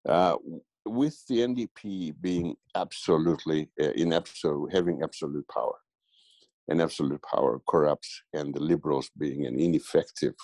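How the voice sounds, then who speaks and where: A middle-aged man from Tanzania giving a political interview, speaking haltingly with many fillers and restarts. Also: rumbling low, a little mellow, no room sound. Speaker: guest commentator.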